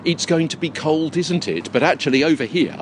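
A man's voice: on the high side, animated, a little fast. A man hosting a podcast on location, chatting and describing his surroundings as he goes. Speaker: podcast host. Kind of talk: on-location podcast presenting and interviewing. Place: on location at a big top tent in a park. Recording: generator hum and outdoor background noise. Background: faint generator and ambient noise.

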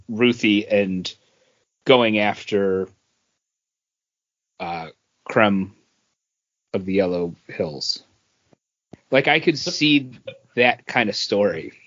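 Man chatting haltingly, slightly dull audio. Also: on the low side, a bit bright. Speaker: podcast co-host. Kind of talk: casual comic book review chat.

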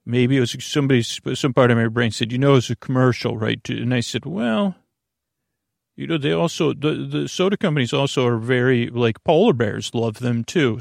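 A middle-aged American man with a gravelly voice hosting a sleep podcast, rambling haltingly, repeating himself and trailing off mid-thought. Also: mid-range voice, no room sound, clean studio sound. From United States. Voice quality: gravelly, creaky. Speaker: podcast host.

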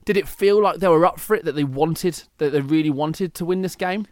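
A man's voice: a little high, brisk, darkish tone, no room sound, clean studio sound. A man in his late twenties hosting a casual sports radio chat, joking about himself and laughing often. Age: late 20s. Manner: chatty, laughs often, self-mocking. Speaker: radio show host.

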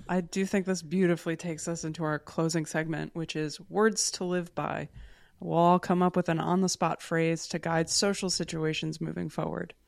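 A woman's voice: on the low side, vocal fry, no room sound, studio quality. A woman co-hosting a podcast, chatting in a wry, self-deprecating way. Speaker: podcast co-host. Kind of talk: casual chat.

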